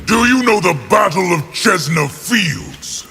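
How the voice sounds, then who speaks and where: A man voicing a hot-tempered, domineering warlord general who speaks forcefully and threateningly in a fighting video game. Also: high voice, lively intonation, slow pace, creaky, long reverb tail, exaggerated voice. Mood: angry, forceful. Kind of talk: video game character dialogue. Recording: loud music behind.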